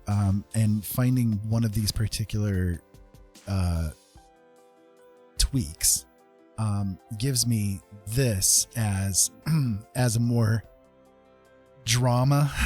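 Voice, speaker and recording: A man's voice: medium pitch, a little mellow. A man hosting a casual livestream as a digital artist, talking in a rambling, self-deprecating way with frequent chuckles. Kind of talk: casual livestream chat while painting. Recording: music playing behind the voice. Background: music.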